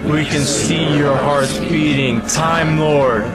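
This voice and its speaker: A man's voice: a little high, fairly even intonation, takes it slowly, loud music behind the voice. A man playing a cold undead creature in an audio drama, chanting menacing commands. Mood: cold menace.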